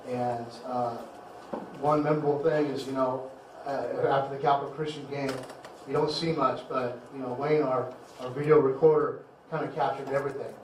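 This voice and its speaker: A man who coaches high school football, giving a heartfelt banquet speech, halting and repeating words as emotion catches him. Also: steady tone. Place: banquet hall. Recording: echoey hall, distant mic, faint noise.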